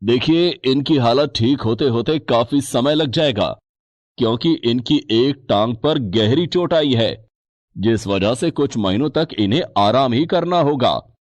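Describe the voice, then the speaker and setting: An actor playing a calm, serious doctor, formal and measured, in a narrated story. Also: male voice, medium pitch, dry recording, natural voice. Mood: grave. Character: calm, serious male hospital doctor giving advice.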